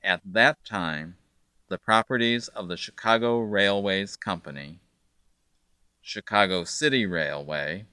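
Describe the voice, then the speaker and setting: A man reading scripted documentary narration in a measured, factual way. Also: sits low, bright, forceful tone, clear, resonant tone, no echo.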